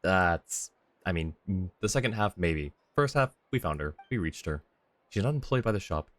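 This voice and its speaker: A man's voice: sits low, expressive, normal speed, fairly bright tone, no room sound. A man chatting casually on a game livestream, thinking aloud in a rambling, easygoing way. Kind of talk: casual livestream chat while playing a game. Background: background game music.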